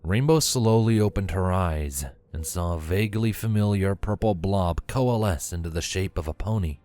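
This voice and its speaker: A man with a deep voice narrates a story from a script. Faint music runs underneath his reading. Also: moderate pace, warm tone.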